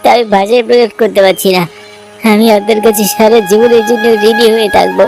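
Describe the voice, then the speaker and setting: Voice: mid-range voice, fairly even intonation, quick pace, vocal fry, darkish tone, natural voice. Performer as an earnest young villager over music. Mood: humble, grateful. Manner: earnest, respectful. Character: polite, honest, humble younger villager son. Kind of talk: cartoon story acting.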